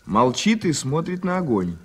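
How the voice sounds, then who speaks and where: An actor playing an eager, curious newspaper journalist with a playfully dramatic streak in an early 1980s radio play. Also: male voice, on the high side, very animated, normal speed, fairly bright tone, husky, exaggerated voice.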